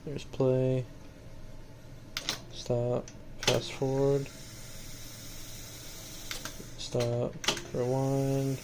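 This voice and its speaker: A man's voice: medium pitch, completely flat delivery, extremely slow. A man giving a casual, matter-of-fact walkthrough of equipment he is selling, plain-spoken, in a noisy home-video recording. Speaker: seller demonstrating equipment.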